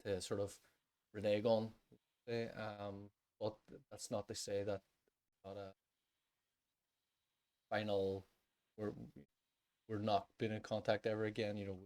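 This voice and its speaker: A man's voice: on the low side, level tone, unhurried, long pauses, darkish tone. A Northern Irish man hosting a music podcast in a casual, chatty style. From Northern Ireland.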